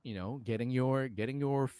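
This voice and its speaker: A man co-hosting a podcast, chatting casually about films in an animated, slangy, argumentative way. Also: medium pitch, brisk, clean tone, dry recording, clean studio sound. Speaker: podcast co-host. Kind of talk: casual film chat.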